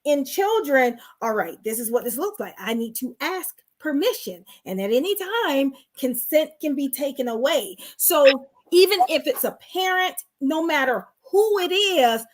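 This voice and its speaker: A woman's voice: high-pitched, big pitch swings, unhurried, few pauses. A woman co-hosting a live online talk show, explaining as a sex therapist in an earnest, teaching manner and asking her guest questions.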